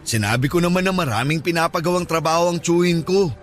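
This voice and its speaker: A man acts out an older Filipino suitor in a radio drama, pitched high for a man. He is playful and coaxing, a little lost and very insistent. Soft music plays behind him. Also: fast talker, lightly acted. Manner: teasing, coaxing.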